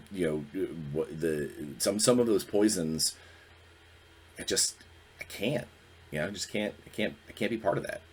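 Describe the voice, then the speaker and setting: Deep-voiced earnest man in his forties. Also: a little hiss or hum. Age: 40s. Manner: earnest, reflective, halting. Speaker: podcast host. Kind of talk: candid solo talk.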